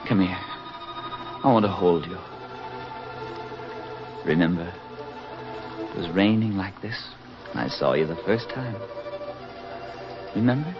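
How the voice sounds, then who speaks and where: Folksy lovesick young soldier, vintage radio drama with music. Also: male voice, low-pitched, expressive, slow, long pauses, heavily acted. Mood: tender. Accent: American. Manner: folksy, wisecracking, ardent. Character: affable, lovesick young American soldier returning to his sweetheart. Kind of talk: 1940s radio acting. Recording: dull 1940s radio recording, music behind.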